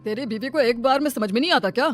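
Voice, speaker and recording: A woman's voice: on the high side, quick pace, faint music behind, close to an everyday voice. A woman voicing a stern, scornful, domineering man of a patriarchal household in a cartoon moral story. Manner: commanding, scornful.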